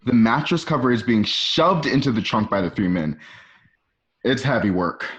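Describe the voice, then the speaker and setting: A man reads screenplay directions as narrator of a radio play. His voice is medium in pitch. The sound is a bit dull and lo-fi. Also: lively intonation, moderate pace, a bit bright. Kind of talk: reading screenplay stage directions aloud. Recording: dull lo-fi home recording.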